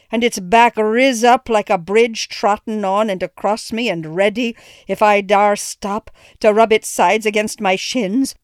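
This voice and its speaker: A man's voice: high voice, clean tone, no room sound, studio quality, voice pushed up. A reader voicing a frightened middle-aged farmer, a father who speaks in a grave, fearful rustic brogue.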